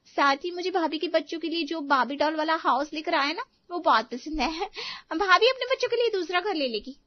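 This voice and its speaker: Brief: actress as spoiled married daughter, high voice, whiny and pushy, storybook cartoon style, dry muffled recording. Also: female voice, animated, a little fast, no echo. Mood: demanding, indignant. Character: entitled, demanding married daughter, wheedling and boastful. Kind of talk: animated moral story voice acting.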